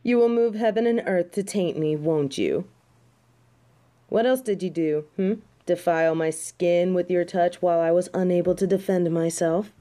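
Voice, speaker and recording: A woman's voice: fairly low pitch, normal speed, mellow, lightly acted. A woman playing a snobby, prickly fallen angel in an audio roleplay, with a haughty, theatrical manner. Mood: haughty, irritated. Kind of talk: audio roleplay acting addressed to the listener.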